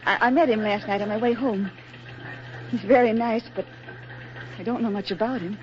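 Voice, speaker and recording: A woman's voice: medium pitch, moderate pace, close to an everyday voice. An actress playing a sweet, eager young working woman in love in a 1940s radio drama, earnest and girlish. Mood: shy, earnest. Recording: dull 1940s radio broadcast recording.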